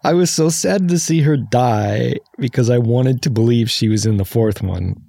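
A man co-hosting a film podcast, chatting casually in an inquisitive way, as if thinking out loud. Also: medium pitch, normal speed, vocal fry, breathy edge, no echo.

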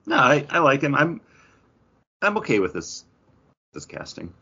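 A man's voice: mid-range voice, wildly swooping pitch, measured pace, creaky, sharp tone, husky. A man co-hosting a podcast, giving his opinions in casual conversation. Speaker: podcast co-host. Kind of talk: casual chat and opinion on films and TV. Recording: dull lo-fi sound.

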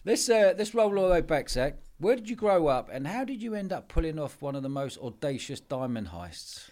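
A man's voice: on the high side, brisk. A man hosting a podcast, upbeat and conversational, often calling his guest mate. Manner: upbeat, lively, says mate a lot. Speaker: podcast host.

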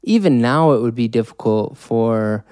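Upbeat man with a smooth mellow voice. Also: mid-range voice, moderate pace, no room sound, clean studio sound. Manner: enthusiastic, upbeat intro. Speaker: interview host. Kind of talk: podcast interview.

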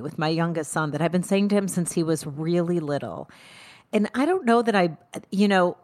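A middle-aged woman co-hosting a podcast in casual conversation, thinking aloud in quick bursts with frequent restarts. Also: low voice. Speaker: podcast co-host.

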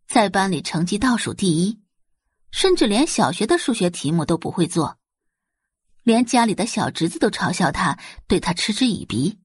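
A woman's voice: medium pitch, big pitch swings, moderate pace, husky, no room sound, clean studio sound. A woman narrating an audiobook in a dramatic, scripted storytelling style.

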